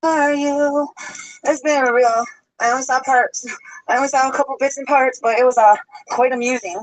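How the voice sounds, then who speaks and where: Woman livestreamer with a bright, high voice, loose and rambling, recorded close and dry. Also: steady tone, normal speed, no echo, poor audio. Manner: chatty, greets viewers by name. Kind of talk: casual livestream chat. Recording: sped-up archived livestream audio.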